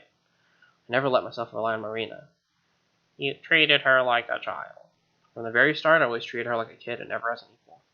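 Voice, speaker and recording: A man's voice: medium pitch, highs cut off. A man hosting a let's play, reading game text expressively with wry, casual asides.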